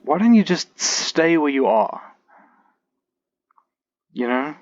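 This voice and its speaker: A man giving casual, halting let's-play commentary, with muttered asides and grumbles. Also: a little high, big pitch swings, dry recording. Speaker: game reviewer, player. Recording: dull sound, loud background noise.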